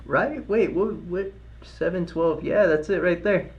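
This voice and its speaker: A man's voice: high voice, a little slow, lo-fi sound. Light-voiced man, hyped live trading streamer. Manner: hyped, cheering and chanting during trades. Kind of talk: live-stream chat with viewers.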